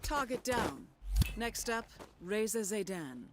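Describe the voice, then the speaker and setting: A woman playing a composed, professional handler who briefs an assassin in a measured, formal, articulate way for a video game. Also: medium pitch, expressive, heavy background noise. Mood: cool, urgent. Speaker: mission briefer. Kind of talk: scripted briefing narration. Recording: loud music under the voice.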